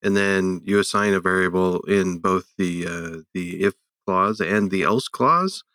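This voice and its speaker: A man co-hosting a tech podcast, speaking casually in a hesitant, halting way. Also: low voice, bright, forceful tone, dry recording, studio quality. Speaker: podcast co-host.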